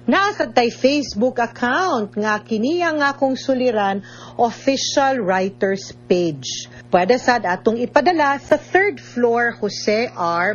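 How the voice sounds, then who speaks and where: A woman's voice: fairly high pitch, muffled recording. A woman with a Cebuano Filipino accent chatting playfully as host of a radio advice show. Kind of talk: conversational radio hosting.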